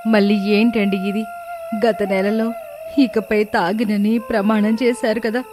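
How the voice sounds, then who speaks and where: A woman's voice: mid-range voice, flat delivery, unhurried, over music, lightly acted. A woman playing a long-suffering, worried wife and mother in a moral story, plaintive and earnest. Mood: worried reproach. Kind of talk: dramatised moral story dialogue.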